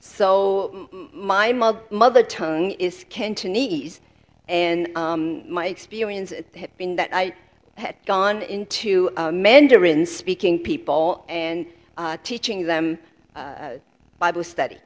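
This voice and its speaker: A woman interpreting a sermon aloud, haltingly, piecing sentences together in short fragments. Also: lowish voice.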